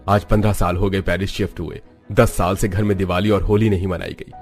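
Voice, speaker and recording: A man playing a deep-voiced aging family patriarch. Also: level tone, fairly quick, darkish tone. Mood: stern, firm. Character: homesick, stern aging father, head of the household. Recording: background music under the voice.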